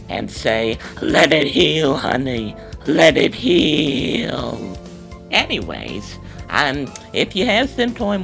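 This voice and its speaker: A man's voice: fairly high pitch, vocal fry, fairly bright tone, exaggerated voice. A man putting on a crude, brash middle-aged woman prank caller who drawls and calls everyone honey. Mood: drawling. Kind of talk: prank call comedy.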